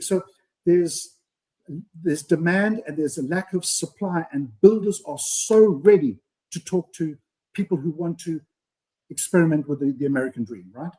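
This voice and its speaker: A man's voice: high-pitched, mellow, rough recording. A man co-hosting a live show, speaking earnestly in a speechifying way and often adding "right?". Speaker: podcast co-host. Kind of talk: casual live-stream chat.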